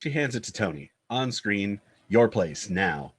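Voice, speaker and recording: Man, lowish husky voice, clipped scripted narration, screenplay stage directions. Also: very animated, a little slow.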